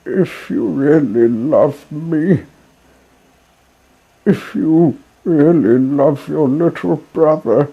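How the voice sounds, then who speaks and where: A man's voice: a little high, creaky, slightly rough, lo-fi sound, pitched above the performer's own voice, full character voice. A man voicing a grieving young wooden puppet boy, pleading and tearful, in a storybook reading.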